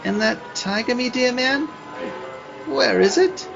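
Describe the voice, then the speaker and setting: A man playing a pompous, easily astonished old-fashioned gentleman sidekick in a comic radio play, with florid, theatrical delivery. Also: very high voice, animated, unhurried, vocal fry, highs cut off, music in the background, heavily acted. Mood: theatrical, grave.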